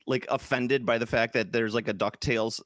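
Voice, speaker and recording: A man's voice: mid-range voice, steady tone, brisk, fairly bright tone, no room sound. Joking man with a dry close sound. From Polish background. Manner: jokey, puts on silly accents, laughs often. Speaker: podcast co-host. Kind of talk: casual chat.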